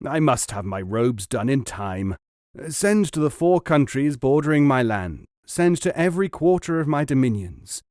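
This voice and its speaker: A man voicing a young king in a storybook reading, loud and commanding. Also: mid-range voice, sing-song, normal speed, dry recording, clean studio sound, natural voice.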